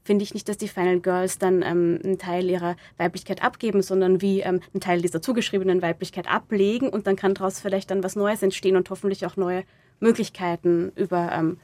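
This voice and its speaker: A woman answering interview questions reflectively, haltingly searching for words and correcting herself as she goes. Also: medium pitch, fairly even intonation, a little mellow, no echo. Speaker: interviewed playwright discussing own audio drama.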